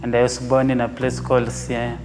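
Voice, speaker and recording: A young man from Kenya, giving an earnest, measured testimony about his vocation as a seminarian. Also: medium pitch, monotone. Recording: reverberant, with guitar music behind.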